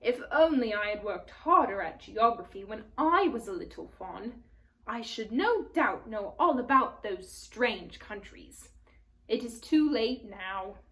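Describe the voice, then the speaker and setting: A woman's voice: a little high, animated, a little slow. A woman voicing a friendly, easily flustered male faun from a storybook, speaking politely and formally with hesitant repetitions. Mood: melancholy. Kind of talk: storybook character read aloud.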